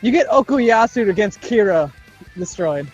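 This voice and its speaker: A young man with a high-pitched voice riffs casually as a podcast co-host. He jokes, swears and slips into little bits of acted dialogue, with music playing behind him. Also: animated, moderate pace, darkish tone, breathy edge, muffled recording. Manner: jokey, profane, acts out bits of dialogue. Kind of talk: casual improvised fan banter.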